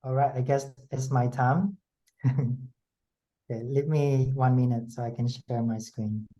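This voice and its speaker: A man's voice: mid-range voice, fairly even intonation, mellow, poor audio. Nervous man. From Vietnam. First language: Vietnamese. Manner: nervous, with halting non-native phrasing. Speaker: educator, fellowship presenter. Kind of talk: online presentation. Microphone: video call.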